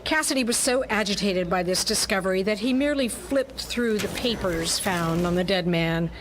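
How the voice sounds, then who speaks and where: A woman's voice: medium pitch, expressive, moderate pace, slight background noise, rough recording. A woman delivering dramatic scripted narration for a pulp detective story, as in radio theatre.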